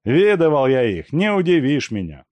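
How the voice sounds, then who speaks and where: A man's voice: a little high, very animated, moderate pace, a little mellow, dry recording, voice pushed up. A man reading an audiobook and voicing a captured male robber who begs and threatens in a strained, raised voice. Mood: threatening. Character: desperate captured male robber, pleading then menacing. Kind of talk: audiobook character voice.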